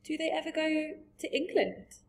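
A woman's voice: sits high, expressive, normal speed, creaky, pitched above the performer's own voice. A woman voicing a curious, kind young girl in a storybook, earnest and questioning. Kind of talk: storybook character voice.

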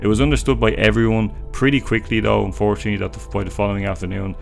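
A man's voice: on the low side, little pitch movement, very fast, creaky. A young man from Dublin with a Dublin Irish accent, narrating a true story in an earnest, chatty way from a small room at home. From Dublin, Ireland. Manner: earnest, chatty commentary with restarts. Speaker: YouTube storyteller. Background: faint music.

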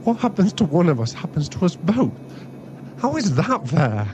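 A man's voice: high-pitched, very animated, normal speed. A man playing a nervous, grumbling friend in an ASMR audio drama, hesitant and resigned to being bossed around. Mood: indignant. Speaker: voice actor in an audio roleplay. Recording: dull sound, clear cabin noise. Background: vehicle and cabin ambience.

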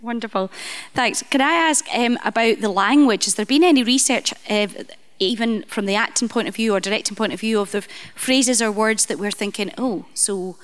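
A woman's voice: on the high side, moderate pace. Woman hosting a live theatre Q&A. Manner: upbeat, encouraging questioner. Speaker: host of a post-show discussion. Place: theatre auditorium. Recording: reverberant theatre hall.

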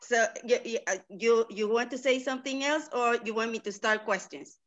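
A woman moderating a panel in a halting way, repeating words as she searches for what to say. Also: fairly high pitch, fairly bright tone. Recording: dull, lo-fi online call.

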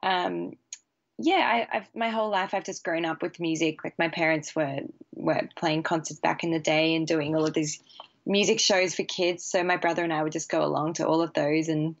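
A young woman, a bassist chatting casually as a podcast guest in a relaxed, easy way. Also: normal speed, highs cut off. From Australia. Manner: relaxed, chatty, laughs easily. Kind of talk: casual interview chat.

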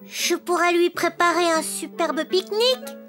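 A woman's voice: moderate pace, faint music behind. A woman voicing a cheerful, eager young girl teddy bear in a French children's cartoon dub, with a sweet childlike voice. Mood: friendly. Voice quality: very high, bright, childlike.

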